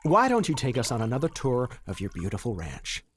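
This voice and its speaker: An actor playing a slick, confident mining company banker, a smooth-talking dealmaker in an audio drama. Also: male voice, mid-range voice, huge pitch swings, fairly quick, a little hiss or hum. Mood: persuasive.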